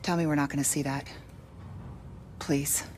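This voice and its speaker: A sharp-tongued American woman virologist played by an actress in a video game. Her voice is on the low side, dry and sarcastic, with brisk impatience and little patience for people. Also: little pitch movement, a little hiss or hum, natural voice. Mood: guardedly hopeful. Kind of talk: video game cutscene acting.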